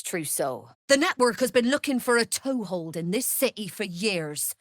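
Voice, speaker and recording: A woman's voice: mid-range voice, fairly bright tone, no echo, clean studio sound. A woman playing a shrewd, worldly smuggler and trader in a video game, speaking in a sly, wry, businesslike manner. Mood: smooth, ingratiating. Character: shrewd, worldly female smuggler-merchant of a criminal trade network. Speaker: trader and guild agent. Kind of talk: video game character dialogue.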